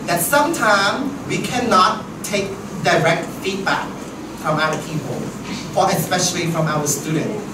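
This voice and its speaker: A man's voice: sits high, normal speed. A man from Southeast Asia, for whom English is not his first language, leading a teacher workshop in a chatty way that keeps asking "right?". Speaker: teacher trainer. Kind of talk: workshop discussion. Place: classroom. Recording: echoey room, far mic, noisy.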